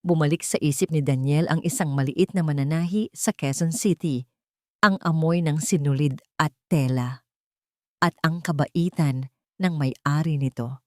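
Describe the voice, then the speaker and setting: A woman narrating a scripted romance drama in a measured, dramatic way, in short phrases. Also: low-pitched, warm tone, dry recording, clean studio sound.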